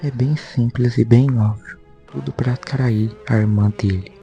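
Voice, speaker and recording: A man's voice: medium pitch, steady tone, a little slow, vocal fry, warm tone, dull audio, faint music behind. A man with a Brazilian accent informally narrating a story recap as a video host, in a conversational way with repetitive phrasing. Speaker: YouTube host.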